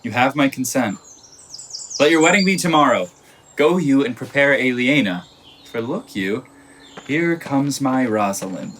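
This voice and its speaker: A woman playing a melancholy young lover, a man with a low-pitched voice. The delivery is Shakespearean radio drama, with faint noise behind it. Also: lively intonation, a little slow, long pauses. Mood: warm, agreeable. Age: young adult. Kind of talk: Shakespearean radio play acting.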